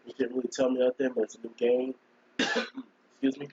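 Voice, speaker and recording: A man with a cold, hoarse and scratchy, chatting casually on a live stream and rambling as he keeps clearing his throat. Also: mid-range voice, flat delivery, moderate pace, a little mellow, highs cut off. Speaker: gaming streamer.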